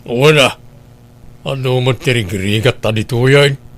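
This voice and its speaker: A man's voice: mid-range voice, measured pace, natural voice. A defiant Ilocano husband played by an actor in a radio drama. He is a stubborn brother-in-law who refuses to be pushed around.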